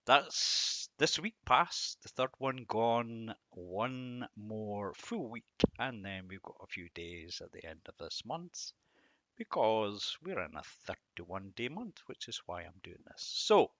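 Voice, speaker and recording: A man giving an informal devotional commentary on verses, musing and halting, with self-deprecating asides. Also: fairly low pitch, lively intonation, a bit bright, no echo, dull audio. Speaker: host of a Bible reading series.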